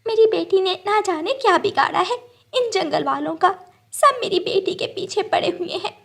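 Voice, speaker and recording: A woman voicing a weary, worried mother bird in a cartoon, gently admonishing. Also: very high-pitched, expressive, normal speed, vocal fry, pitched above the performer's own voice, heavily acted.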